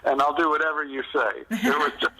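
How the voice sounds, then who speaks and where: A man speaking conversationally as an author being interviewed, in a halting, reflective way with thoughts often restarted mid-sentence. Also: on the high side, animated, sharp tone, rough recording. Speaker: novelist and screenwriter being interviewed.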